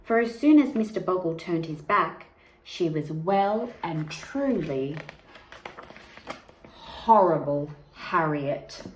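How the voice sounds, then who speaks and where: A woman reads a children's story aloud in a lively, swooping voice. She takes her time and stretches important words for young listeners. The room is echoey, with slight noise behind her. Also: on the low side. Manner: expressive, deliberate, drawn-out emphasis on key words. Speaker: teacher reading a picture book aloud.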